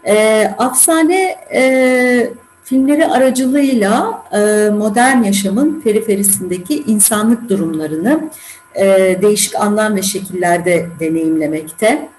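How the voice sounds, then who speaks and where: A woman with a Turkish accent hosting a festival interview, speaking haltingly with many fillers and formal addresses. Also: mid-range voice, darkish tone, slight background noise. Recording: echoey room with faint music.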